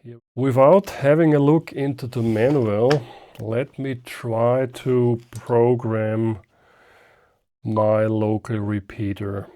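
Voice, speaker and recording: A man's voice: mid-range voice, fairly even intonation, slow, warm tone, slight background noise. A man, a German speaker, giving a casual unscripted hands-on gadget demonstration and thinking aloud step by step. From Austria. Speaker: ham radio reviewer.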